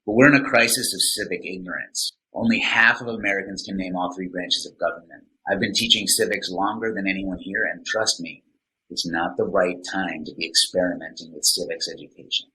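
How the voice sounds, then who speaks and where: Stubborn, forceful veteran teacher. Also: male voice, low-pitched, steady tone, brisk, rough recording. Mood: skeptical. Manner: blunt, argumentative. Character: stubborn veteran male civics teacher, skeptical and combative. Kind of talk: scripted audio drama.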